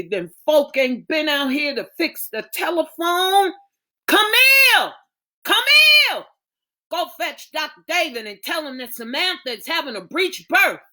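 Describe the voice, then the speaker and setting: A bossy, folksy mother of five barks orders and scolds her girls, as a woman puts her on. Her voice is bright and her words come out slow and weighty. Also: high-pitched, big pitch swings, voice pushed up, heavily acted. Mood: urgent. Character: stern churchgoing mother of five daughters.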